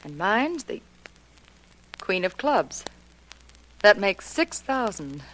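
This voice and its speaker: A woman's voice: medium pitch, very animated, slow, a bit bright, full character voice. An actress playing a cool, bold married woman gambler in a 1940s radio drama, self-assured and challenging. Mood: cool, confident. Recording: noisy 1940s radio recording.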